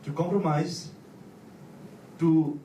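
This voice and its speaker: A man from Nepal with a Nepali accent, speaking personally to an audience in halting, deliberate phrases. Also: a little high, fairly even intonation, mellow. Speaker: guest speaker, volunteer. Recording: echoey room, distant mic, clear noise.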